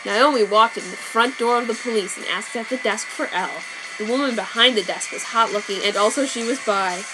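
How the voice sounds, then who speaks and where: A woman reading a fan story aloud, deadpan and mock-serious. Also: a little high, a little fast, poor audio. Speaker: reader. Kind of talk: dramatic fanfic reading. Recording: background music. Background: soundtrack music.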